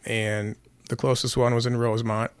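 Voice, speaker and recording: A man, hoarse from being sick, answering interview questions in a plainspoken way with many fillers. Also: medium pitch, flat delivery, normal speed, no echo. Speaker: county sheriff guest.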